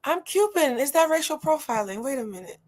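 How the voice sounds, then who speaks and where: A young woman from Boston hosting a casual chat show, playful and teasing, quick with her questions. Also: high-pitched, sing-song, a little mellow. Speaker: host.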